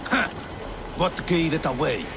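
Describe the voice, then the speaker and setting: An actor playing a sardonic medieval guard in a video game, with a sarcastic manner. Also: male voice, a little high, lively intonation, a little slow, heavy background noise.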